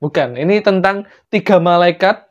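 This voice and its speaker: Young storyteller, Javanese-Indonesian accent, medium pitch, casual and jokey, chatty asides, lo-fi muffled sound. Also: moderate pace. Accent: Javanese-influenced Indonesian. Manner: playful, joking, chatty asides. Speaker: storyteller, channel host.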